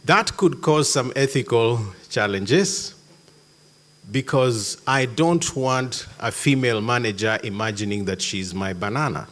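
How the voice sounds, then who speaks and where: A man giving a conference talk in a hall, measured with sudden emphatic jumps. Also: mid-range voice, a bit bright. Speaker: conference speaker.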